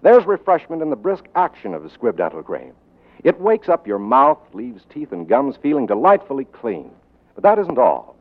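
A man's voice: a little high, huge pitch swings, moderate pace, gravelly. A man working as a radio announcer, reading a scripted 1940s commercial in a polished, salesmanlike way. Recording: tinny, narrow-band 1940s radio recording.

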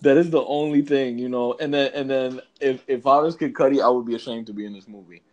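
A man's voice: medium pitch, fairly even intonation, quick pace, muffled recording. A man co-hosting a podcast, chatting casually in a slangy, rambling way and circling back to agree. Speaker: podcast co-host. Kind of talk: casual movie chat.

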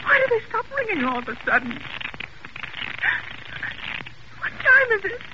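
A querulous, panicky invalid woman in a golden-age radio drama. Her voice is extremely high and swoops wildly as she demands and frets with theatrical indignation. The old recording is muffled and plainly noisy. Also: big pitch swings, fast. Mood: fearful. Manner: shrill, indignant, melodramatic and demanding. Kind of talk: 1940s radio thriller acting.

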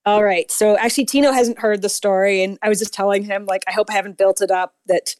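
A woman from Canada with a Canadian accent, calling in to tell a story on a radio show, talking fast and rambling with fillers and restarts. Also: medium pitch, steady tone, a little mellow, dry recording. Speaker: caller. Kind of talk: phone-in storytelling.

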